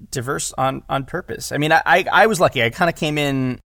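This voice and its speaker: A man's voice: fairly high pitch, brisk, gravelly, sharp tone, no echo. A man talking casually in an interview as an audio drama director, rambling and often correcting himself with emphasis.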